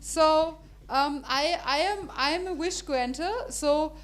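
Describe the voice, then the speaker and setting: High-voiced chatty woman moderator. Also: slow pace. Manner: chatty, hesitant, many fillers and restarts. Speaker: panel moderator. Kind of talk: informal event moderating.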